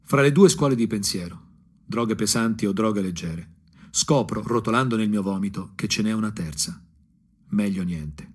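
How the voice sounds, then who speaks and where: An actor playing a disillusioned provincial ex-punk looking back with bitter irony, in a husky, brooding radio drama monologue with dramatic pauses. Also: male voice, lowish voice. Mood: bitter, weary. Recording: music playing under the voice. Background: guitar music.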